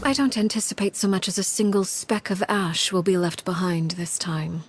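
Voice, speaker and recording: A woman's voice: on the low side, fairly even intonation, moderate pace, heavy background noise, close to an everyday voice. An actress playing a stern, menacing woman who rules a household, speaking formally and with measure in a video game. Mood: cold, composed. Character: coldly stern, commanding woman, head of a household.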